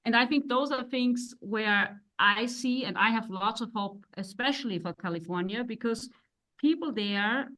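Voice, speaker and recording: A woman's voice: fairly high pitch, moderate pace, fairly bright tone. A woman, a guest in a casual interview, giving her opinions at length and often restarting her sentences. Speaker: guest, wife of the other guest.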